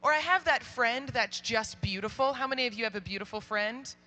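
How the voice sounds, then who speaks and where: Young woman preacher telling stories to kids, super loud voice, high and bright, does all the voices. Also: brisk. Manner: animated, acts out quoted voices. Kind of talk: storytelling youth talk.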